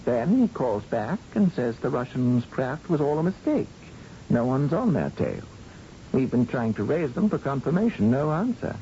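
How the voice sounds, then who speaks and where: An actor playing an earnest, dutiful space flight controller in a 1980 radio drama, reporting in clipped, urgent phrases. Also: male voice, medium pitch, sing-song, moderate pace, warm tone. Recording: dull lo-fi old radio recording, faint noise.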